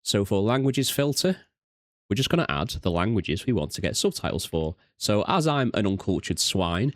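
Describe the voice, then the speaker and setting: A British man hosting a software tutorial, talking informally and self-deprecatingly through a step-by-step walkthrough. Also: fairly low pitch, sing-song, normal speed, no room sound, clean studio sound. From United Kingdom. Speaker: tutorial host. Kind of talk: casual software walkthrough narration.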